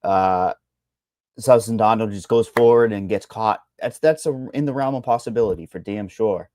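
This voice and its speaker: A man's voice: fairly low pitch, moderate pace, dark tone, no room sound, studio quality. A man with a Boston accent, co-hosting a casual fight betting chat, rambling through odds with blunt, salty asides. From Boston, Massachusetts. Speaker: MMA betting analyst.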